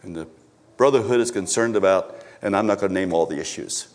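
A man preaching a sermon at a church service, swinging from emphatic exhortation to low, stammered asides. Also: fairly quick. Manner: rises to emphatic exhortation, then drops low, with stammered repetitions.

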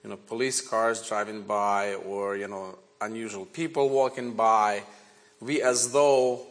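A man in his forties with a Ukrainian accent, a native Ukrainian speaker, preaching a sermon woven with his own testimony in an earnest, measured way. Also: lowish voice, steady tone. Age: 40s. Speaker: guest preacher.

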